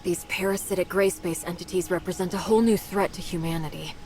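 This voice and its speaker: A woman voicing a composed, commanding team leader in a video game, speaking crisply and with authority. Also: fairly low pitch, fairly even intonation, fast talker, natural voice, poor audio. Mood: authoritative. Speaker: game character. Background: loud game music.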